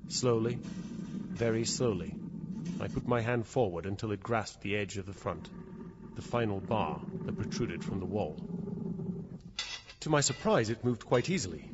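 A man's voice: medium pitch, close to an everyday voice. An actor playing a terrified, trapped man who recounts his ordeal in suspenseful, theatrical audio drama narration. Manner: suspenseful, theatrical, swinging from hushed to frantic. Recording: dull, lo-fi audio with loud background noise.

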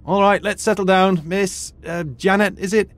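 A man's voice: high-pitched, steady tone, moderate pace, lightly acted. A man playing a dry British archaeologist. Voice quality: dry, wry and formal. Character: British retired archaeologist turned high school teacher, dry and wry. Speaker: history teacher. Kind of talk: audio drama acting.

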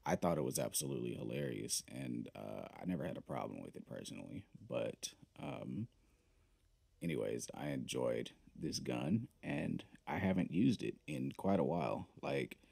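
Deep gravelly dude talking through his game, laid back, lots of uhs, music faint behind. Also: lively intonation, normal speed, mellow, no echo. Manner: laid-back, rambling, many fillers. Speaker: gaming video host. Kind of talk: casual gameplay commentary.

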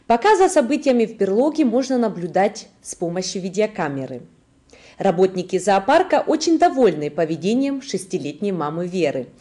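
A woman's voice: medium pitch, sing-song, brisk, mellow. A woman in her thirties reading scripted news in a formal manner as a presenter in a studio. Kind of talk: scripted newsreading. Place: indoor studio.

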